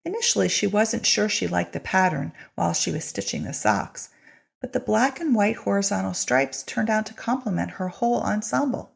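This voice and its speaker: Creaky, husky woman reading a story. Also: mid-range voice, sing-song, normal speed. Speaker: audiobook-style reader of a short story. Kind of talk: scripted storytelling narration.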